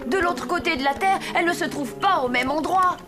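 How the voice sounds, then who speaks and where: A woman's voice: very high voice, brisk. A woman voicing an earnest, brave young orphan boy in a French cartoon, with a childlike voice. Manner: earnest, eager. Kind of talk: French animated series dubbing. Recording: music behind the voice.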